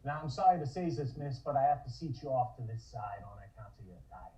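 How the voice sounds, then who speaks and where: An actor playing a polite but teasing nightclub host in a table read. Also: male voice, medium pitch, quick pace, mellow, lightly acted. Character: small-time club host, polite yet needling. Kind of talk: comic script table read. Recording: echoey, muffled call audio.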